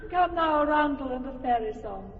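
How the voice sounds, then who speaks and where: A woman's voice: high-pitched, lively intonation, normal speed, slight background noise. An actress playing a regal fairy queen in a classic radio drama, giving commands. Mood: commanding. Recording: distant, muffled old radio play with long reverb.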